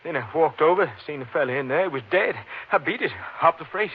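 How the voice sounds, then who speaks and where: An actor playing a frightened, evasive drifter in a 1950s radio drama, stammering and halting in uneducated speech. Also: male voice, a little high, lively intonation, slight background noise. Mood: scared, pleading. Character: frightened, evasive drifter hobo under police questioning. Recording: muffled old radio broadcast recording.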